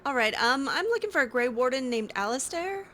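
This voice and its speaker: A woman's voice: high-pitched, animated, brisk, pushed and bright, slight background noise. A woman playing a video game in character, giving casual commentary with wry, sarcastic, skeptical asides. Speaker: video game player roleplaying as a mage character.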